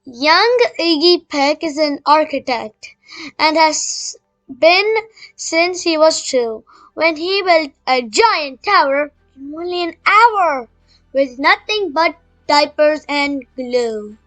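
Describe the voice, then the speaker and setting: High young female-sounding reader, slow halting read aloud, chatty, music behind. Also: lively intonation, fairly bright tone, rough recording. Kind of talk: children's read-aloud with casual asides.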